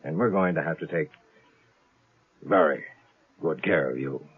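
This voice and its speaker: A man's voice: a bass voice, a little slow, heavy background noise. An American man playing a worried, brusque but caring military doctor on a space station, in 1950s radio drama style. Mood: exasperated, firm. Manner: exasperated, authoritative. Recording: muffled lo-fi 1950s radio recording.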